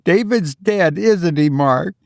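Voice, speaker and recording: Grieving, stubborn mother for story reading, played by a man: raised pitch, swooping intonation, insistent and imploring. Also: expressive, takes it slowly, gravelly, no echo, studio quality, full character voice. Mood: steady dread. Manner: urgent, pleading, insistent. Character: anguished grieving mother, desperate, defiant, stubbornly believing. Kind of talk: dramatic audiobook character acting.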